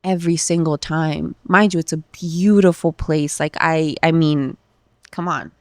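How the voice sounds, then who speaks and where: A woman's voice: sits low, steady tone, measured pace, no echo, studio quality. A woman in her thirties from Los Angeles, a podcast guest talking candidly and emotionally, with lots of filler words. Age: 30s. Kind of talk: casual conversational interview.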